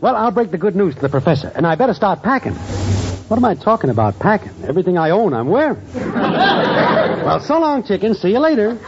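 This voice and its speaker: An actor in a 1940s radio comedy playing a broke, cocky boyfriend with a breezy, wisecracking manner. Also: male voice, high-pitched, big pitch swings, brisk, highs cut off, a loud crowd. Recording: noisy old radio recording, studio audience.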